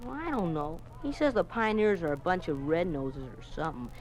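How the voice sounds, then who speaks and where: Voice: medium pitch, lively intonation, brisk, close to an everyday voice, rough recording. An American performer playing an eager, naive schoolboy with earnest boyish manners in a 1950s radio drama. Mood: put out. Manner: boyish, gee-golly earnestness. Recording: hissy 1950s radio recording.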